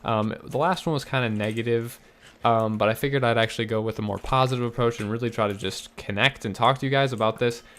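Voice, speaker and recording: A young man talks into a desk mic in casual gameplay commentary, his voice a little raspy and his pitch sitting in the middle. He explains himself earnestly, with fillers and restarts, and keyboard typing ticks faintly behind him. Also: fairly even intonation, fast talker, fairly bright tone. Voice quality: slightly raspy. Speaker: gaming YouTuber.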